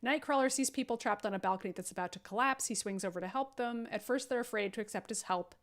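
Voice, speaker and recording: Animated, higher-voiced woman narrating a script. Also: fast talker. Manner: jokey, swearing, adds silly sound effects. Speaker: podcast host reading stage directions at a table read. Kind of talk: animated script narration with sarcastic casual asides.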